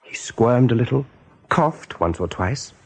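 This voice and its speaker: A man narrating a radio play in a measured, literary storytelling manner. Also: mid-range voice, lively intonation, breathy edge.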